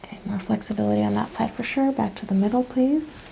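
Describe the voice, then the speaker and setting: A soft-spoken woman doing an ASMR chiropractic roleplay, giving gentle clinical questions and step-by-step instructions, often dropping to a whisper. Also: medium pitch, fast, gravelly, slight background noise, poor audio.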